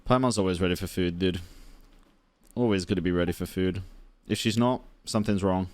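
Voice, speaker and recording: A man's voice: low-pitched, brisk, no room sound. A man doing casual, slangy gameplay commentary as a streamer, reacting and joking. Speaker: game streamer.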